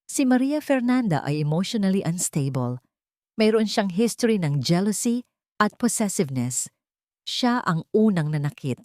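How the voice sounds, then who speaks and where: A woman's voice: medium pitch, moderate pace, no echo, clean studio sound, lightly acted. Woman voicing a cold, commanding rich matriarch. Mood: calculating. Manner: calm but cutting, imperious.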